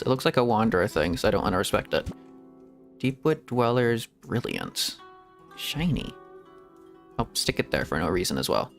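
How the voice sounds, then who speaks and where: A man's voice: mid-range voice, creaky. A man streaming a game, rambling to himself in casual commentary and giggling often. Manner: rambling self-talk with frequent giggles. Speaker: VTuber streamer.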